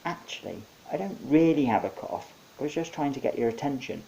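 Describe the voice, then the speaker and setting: Man playing a bewildered young captive, raised pitch. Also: lively intonation, a little fast, gravelly, mellow, poor audio. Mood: sheepish. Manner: anxious, questioning.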